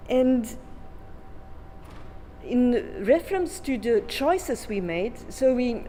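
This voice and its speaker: A woman's voice: on the high side, sing-song, slow, dark tone, a little hiss or hum. Flemish woman presenting in a reverberant hall. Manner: hesitant, many fillers and restarts. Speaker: conference speaker. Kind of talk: conference presentation. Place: conference hall. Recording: long reverb in a conference hall.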